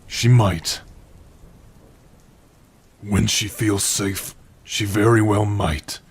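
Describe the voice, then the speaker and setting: Orc blacksmith played by a man for ASMR roleplay: tender and proud, lowish pitch, very breathy rasp, intimate delivery. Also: exaggerated voice. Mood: reassuring. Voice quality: husky, breathy. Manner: slow, tender, measured delivery with long pauses. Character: gentle, proud male orc warrior-blacksmith, loving partner. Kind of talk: ASMR roleplay, intimate second-person acting.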